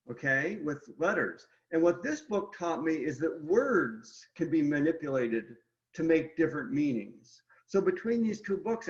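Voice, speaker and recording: A man, an illustrator being interviewed, explains his ideas step by step in casual talk, speaking straight to his listeners. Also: fairly high pitch. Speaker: picture book illustrator, guest. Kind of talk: casual explanatory chat about art. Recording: roomy echo, slightly dull lo-fi sound.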